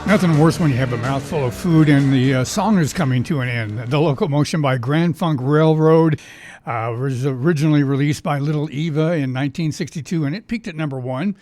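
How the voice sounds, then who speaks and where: A man's voice: fairly high pitch, brisk, darkish tone, soft background music. A man hosting a casual oldies trivia show on the radio, talking in a chatty, folksy, conversational way. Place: radio studio.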